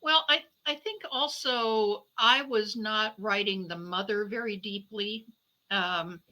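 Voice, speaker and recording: Bright-voiced middle-aged woman in conversation. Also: medium pitch, slow, low-quality recording. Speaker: guest author, debut novelist. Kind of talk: conversational interview answers.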